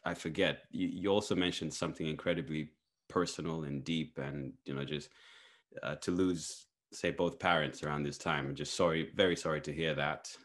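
A man from Zambia hosting a casual conversation, thoughtful and encouraging, asking long winding questions. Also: sits low. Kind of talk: casual interview chat.

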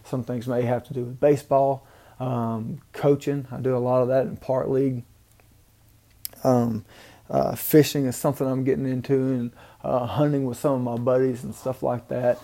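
A man's voice: mid-range voice, level tone, moderate pace, gravelly, mellow. A man from Mississippi with a Southern accent, rambling through a casual solo podcast with many ums and restarts. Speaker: podcast host. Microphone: home microphone, amateur setup.

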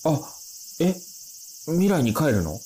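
A man's voice: on the high side, sing-song, slow pace, dark tone, slight background noise. An actor in an audio drama playing a mild-mannered ordinary man, bewildered and hesitant, speaking in questions. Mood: puzzled, concerned.

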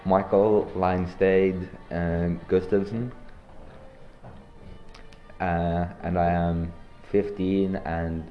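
A teenage boy from Norway hosting a joking school podcast, talking in deadpan, slangy banter. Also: deep voice, extremely slow, long pauses, reverberant, highs cut off, faint music behind. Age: teens. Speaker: podcast host. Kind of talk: joking student podcast interview.

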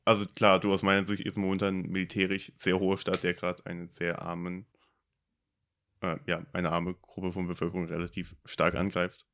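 A man's voice: sits low, monotone, moderate pace, a bit bright. A young man from Germany in his early twenties, co-hosting a podcast and talking things through in a reflective, hesitant way with many fillers. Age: about 20. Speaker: podcast co-host. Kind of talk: casual discussion.

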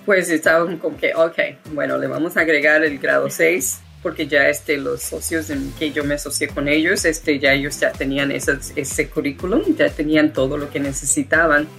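A woman's voice: deep voice, fairly even intonation, moderate pace, creaky, hardly any pauses, fairly bright tone, soft background music. A woman from the United States with an American accent, a guest in an interview who talks with many fillers and restarts. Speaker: guest, education founder. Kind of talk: interview conversation.

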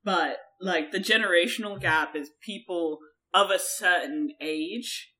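A woman's voice: lowish voice, measured pace, pushed and bright. A woman from Germany with a mixed German, American and English accent, explaining things in casual chat as a podcast co-host and swearing now and then.

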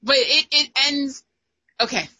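A woman's voice: high voice, unhurried, bright, forceful tone. A young adult woman co-hosting, chatting casually with a playful, teasing manner and frequent laughter. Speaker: podcast co-host. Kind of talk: casual chat.

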